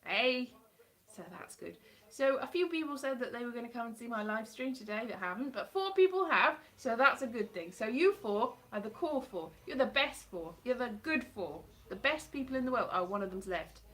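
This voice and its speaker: Woman, 30s, casual live-stream chatter into a close webcam, self-mocking and sarcastic, slightly high voice. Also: lively intonation, normal speed, a bit bright. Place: home hallway by a staircase, indoors. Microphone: webcam or phone at close range.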